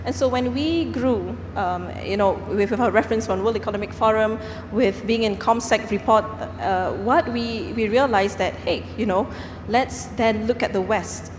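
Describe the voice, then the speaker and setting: A woman's voice: on the high side, normal speed, few pauses. Earnest woman panelist in an echoey hall. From Singapore. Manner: earnest, with frequent fillers and restarts. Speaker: panel speaker, company founder. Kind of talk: panel discussion. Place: large conference hall. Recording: echoey hall, faint background noise.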